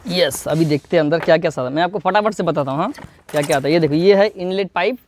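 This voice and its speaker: Young male product reviewer, rapid patter, higher voice, casual and chatty, filmed outdoors on a camera. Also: a little hiss or hum. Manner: chatty, talks straight to viewers, keeps checking in with "hai na". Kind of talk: casual unboxing demo. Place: outdoor courtyard garden.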